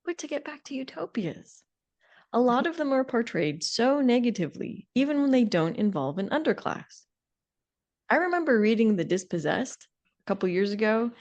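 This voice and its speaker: A woman's voice: on the high side, a little mellow. Opinionated middle-aged woman co-hosting a podcast. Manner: opinionated, emphatic. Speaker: podcast co-host. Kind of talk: conversational podcast discussion.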